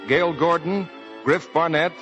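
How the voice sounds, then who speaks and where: A man's voice: a little high, normal speed, fairly bright tone, faint music behind. An American man announcing on a 1940s radio show, reading scripted copy in a formal, emphatic sales delivery. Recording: dull vintage 1940s radio broadcast.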